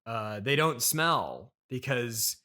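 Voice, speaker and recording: A man's voice: mid-range voice, very animated, slow pace, bright, forceful tone. A man in his thirties hosting a casual improvised comedy interview, hesitant and questioning, with a dryly pedantic streak. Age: 30s. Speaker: podcast host and interviewer.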